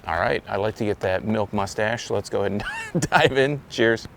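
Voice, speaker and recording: Gravelly low-voiced man outdoors in wind. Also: moderate pace, a bit bright. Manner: rambling, self-correcting, loose. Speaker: beer reviewer. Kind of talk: casual beer review vlog. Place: outdoors, windy. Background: wind noise.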